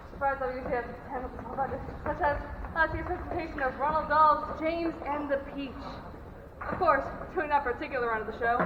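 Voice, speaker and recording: A woman's voice: high-pitched, lightly acted. Young woman as proud flustered director, formal stage speech, muffled recording, background noise. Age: teen or young adult. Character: proud, flustered drama society director introducing the play. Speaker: student actor. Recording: echoey noisy hall, distant muffled mic.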